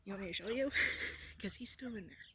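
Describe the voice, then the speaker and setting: A woman's voice: mid-range voice, a little fast. A woman in casual conversation, off camera and away from the microphone outdoors. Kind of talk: casual chat. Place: outdoors on a farm. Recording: noisy, distant off-camera voice.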